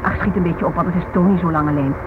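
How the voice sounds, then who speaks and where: An actress plays a young mother and wife in a vintage radio drama. She speaks briskly, questioning and concerned for her husband. The recording is narrow and tinny. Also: fairly low pitch, background noise, close to an everyday voice. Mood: sceptical. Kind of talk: radio play acting.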